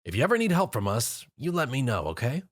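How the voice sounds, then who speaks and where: A man's voice: mid-range voice, wildly swooping pitch, quick pace, pitched above the performer's own voice. A man voicing a blunt, matter-of-fact female police officer. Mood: approving.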